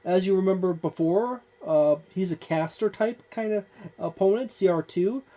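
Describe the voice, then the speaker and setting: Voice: mid-range voice, normal speed, mellow. A tabletop gaming channel host talking through a book page by page in a loose, rambling way with many fillers. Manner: points things out on the page. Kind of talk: casual book walkthrough.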